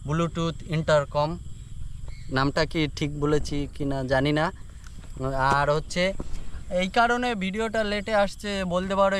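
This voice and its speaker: A man's voice: a little high, a bit bright. A young man chats casually to camera in an informal, buddy-like way, as in an unboxing vlog. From rural India. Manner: informal, buddy-like address, repeats filler phrases. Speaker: moto vlogger. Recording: noisy outdoor ambience.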